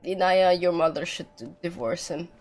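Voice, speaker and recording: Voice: mid-range voice, normal speed. A streamer casually commentating on a game playthrough in blunt, muttered asides. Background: game music.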